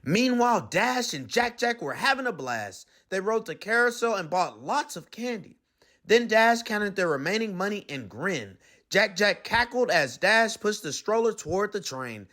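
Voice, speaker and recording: High, animated male storybook reader. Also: moderate pace. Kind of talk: expressive children's read-aloud narration.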